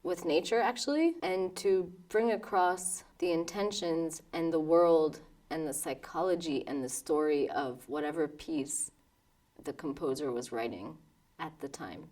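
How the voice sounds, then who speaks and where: American woman in her thirties, slightly low voice, thoughtful interview talk, soft laughs. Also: distant mic. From New York, United States. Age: 30s. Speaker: pianist. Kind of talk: documentary interview.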